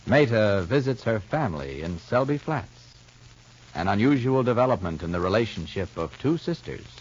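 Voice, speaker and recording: A man's voice: lowish voice, big pitch swings, moderate pace, slightly rough. A man narrating and announcing for an old radio soap, in a dramatic, persuasive delivery. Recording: dull lo-fi old radio recording.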